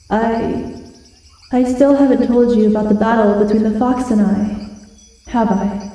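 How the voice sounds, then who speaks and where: A woman playing a proud, feral but soft-hearted giant wolf girl in an ASMR roleplay, with breathy, dramatic pauses. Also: on the high side. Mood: sheepish, uneasy. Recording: very echoey, distant from the mic.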